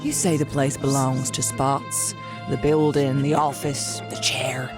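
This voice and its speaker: A woman's voice: very deep voice, little pitch movement, unhurried, over music. A woman playing a ruthless, power-hungry gunslinger in a western audio drama, taunting and domineering with theatrical insults. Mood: coldly scheming.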